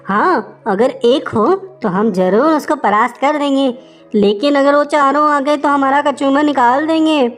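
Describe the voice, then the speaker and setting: Nervy storybook she-wolf acted by a woman, high, excitable, protesting, light music under. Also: unhurried. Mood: wary. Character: wary female wolf of the pack, easily alarmed.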